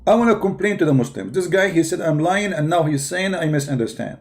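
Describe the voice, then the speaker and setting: A man's voice: fairly high pitch, expressive, fast. A man hosting a live online debate, answering a played clip with mocking, sarcastic retorts. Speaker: livestream host debating a critic. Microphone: desk microphone.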